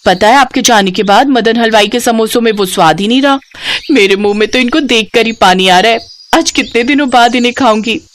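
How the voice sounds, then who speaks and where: A woman's voice: fairly high pitch, fairly quick, a little hiss or hum, lightly acted. A woman voicing a chatty, eager young female customer in a cartoon moral story, gushing and familiar. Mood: excited.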